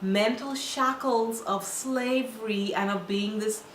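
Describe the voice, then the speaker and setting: A woman's voice: fairly high pitch, takes it slowly. A young woman with a Bahamian accent who is co-hosting a casual talk show and scolding with emphatic, exasperated energy. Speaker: podcast co-host. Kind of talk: casual opinion chat. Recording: echoey room with faint noise.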